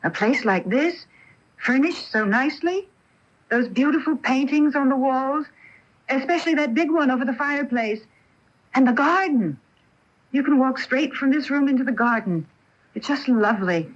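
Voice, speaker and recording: An earnest young would-be actress in a radio play, with a fairly high voice full of wonder. The sound is a muffled, lo-fi broadcast tape. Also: female voice, lively intonation, normal speed. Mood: delighted. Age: young adult. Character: eager young aspiring actress from small-town Iowa. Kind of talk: radio drama acting.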